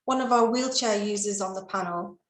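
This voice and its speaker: A woman moderating a patient panel over a video call, speaking in a polite, measured, orderly way. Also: mid-range voice, reverberant. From England.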